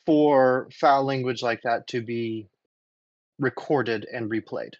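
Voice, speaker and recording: A man in a casual debate, making his points in a deliberate, halting, questioning way over dull, lo-fi audio. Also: mid-range voice, no echo.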